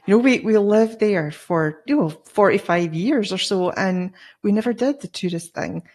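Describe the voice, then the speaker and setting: A woman's voice: medium pitch, animated, normal speed, a little mellow. A middle-aged Scottish woman in casual conversation, telling travel stories in a chatty way. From Scotland. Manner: chatty, storytelling. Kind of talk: casual chat.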